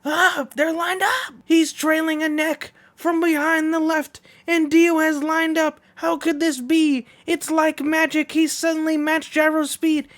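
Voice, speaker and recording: A man voicing an excited horse race announcer from a manga, with emphatic, repetitive commentary calls. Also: very high voice, measured pace, few pauses, pitched above the performer's own voice. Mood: frantic excitement.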